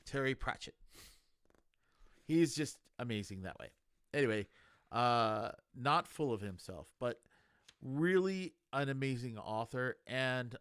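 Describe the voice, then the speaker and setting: A man reading a novel aloud in a meandering, halting, conversational way, like an amateur audiobook reader. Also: medium pitch, a bit bright, no room sound, clean studio sound. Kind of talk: casual chat, then reading a novel aloud.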